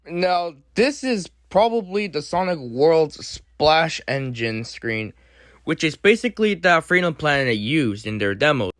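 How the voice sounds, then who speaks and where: Male video host, slightly high voice, casual explainer commentary with choppy, halting delivery. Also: very animated, a bit bright, no room sound.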